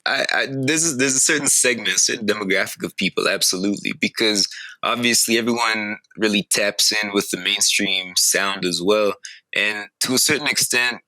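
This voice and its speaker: Bright-toned articulate young male rapper guest. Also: on the low side, no room sound, poor audio. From Calgary, Canada. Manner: articulate, measured, thoughtful. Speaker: hip hop artist guest. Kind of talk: casual interview answers.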